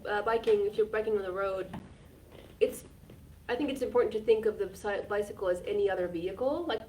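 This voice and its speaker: Lady cohosting a live stream, earnest and chatty, mid-pitch voice, mic a bit far off with faint hum behind. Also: quick pace, a little mellow. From Finland. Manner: earnest, chatty, with frequent "like" and restarts. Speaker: co-host. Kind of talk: casual live-stream chat. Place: community repair centre. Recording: distant mic with faint background noise.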